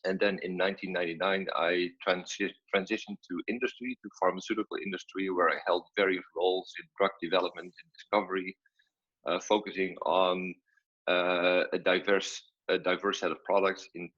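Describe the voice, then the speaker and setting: Dutch man in his fifties, non-native English, very low husky voice, measured with fillers, dull video-call audio. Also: level tone, a bit bright. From Netherlands. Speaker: guest scientist.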